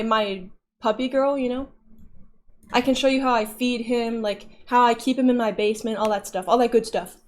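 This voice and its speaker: A woman streamer chatting casually with her viewers, playful and often laughing as she reads chat messages aloud. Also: sits high.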